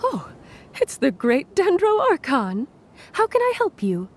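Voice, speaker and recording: A woman's voice: high voice, wildly swooping pitch, a little slow, slight background noise, exaggerated voice. A woman playing a polite, dutiful official with a deferential manner in an anime game. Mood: polite, attentive. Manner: courteous, deferential. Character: polite, dutiful female official at a records desk. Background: background music.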